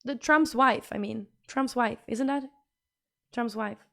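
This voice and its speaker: A young Swedish-accented woman streaming from home, chatting loosely with her viewers. Her voice sits a little on the high side, and she repeats phrases as she reacts to chat. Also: slow, gravelly, a bit bright. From Sweden. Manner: chatty, bubbly, repeats herself while reading chat. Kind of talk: casual livestream chat reading messages.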